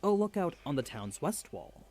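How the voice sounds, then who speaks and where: A man's voice: fairly high pitch, big pitch swings, moderate pace, a little mellow, slight background noise. A man reading scripted explainer narration for a video.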